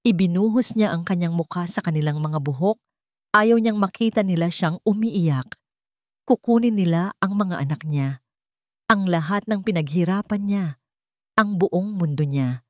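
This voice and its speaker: A woman's voice: on the low side, normal speed, dry recording, clean studio sound. A woman with a Filipino accent narrating a dramatic scripted love story.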